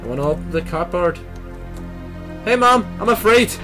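Young Austrian gamer host; energetic reactive commentary on a headset, fairly high pitch, quick swearing and laughs. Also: male voice, over music. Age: young adult. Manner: excitable, swearing, laughing, reads game text aloud. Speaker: let's play host. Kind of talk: gaming commentary. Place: small room at a computer. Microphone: headset mic.

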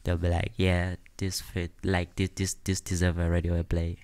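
A man's voice: sits low, monotone, normal speed, darkish tone, clean tone. A young man with a South African accent, chatting casually as a guest in an interview at a studio mic. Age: young adult. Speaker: guest, hip hop artist and producer. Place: small office radio studio. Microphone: close studio mic on boom arm.

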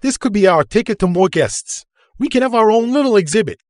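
A chubby, cheeky younger brother with a raised, eager voice, played by a male narrator. He talks fast and breezily, full of excitement. The recording is dry and close. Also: sits high, no room sound, voice pushed up. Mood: enthusiastic.

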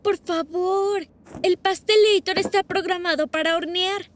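A woman's voice: very high-pitched, fairly even intonation, unhurried, slight background noise. A woman voicing a confident young girl inventor in a cartoon dub, with a breezy, self-assured delivery. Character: blonde girl inventor with goggles, confident tinkerer.

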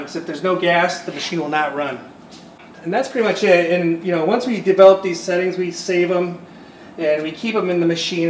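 A man in his forties, a shop foreman, giving a relaxed walkthrough of machine settings in a workshop. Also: a little high. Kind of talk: casual technical walkthrough. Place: indoor workshop at a laser welder. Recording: echoey workshop, faint background hum.

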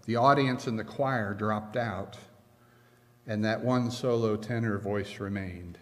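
An older man preaching a sermon from a church pulpit, earnest and measured, with slow emphatic pauses. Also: fairly low pitch, fairly bright tone. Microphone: pulpit stand mic. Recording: long reverb of a church hall.

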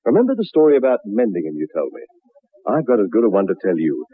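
A man's voice: medium pitch, wildly swooping pitch, quick pace, breathy edge. A man playing a chatty raconteur who tells a friend a wild tale in a 1960s radio drama, with clubby, old-fashioned phrasing. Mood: sly, teasing. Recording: muffled narrowband old radio recording.

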